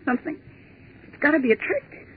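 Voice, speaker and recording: An actress playing a frightened young woman trying to stay calm and reasoning out loud, in 1940s radio drama style, on a tinny, scratchy old broadcast. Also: fairly quick, lightly acted. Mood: shaken, defiant. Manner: tense, insistent, clinging to reason.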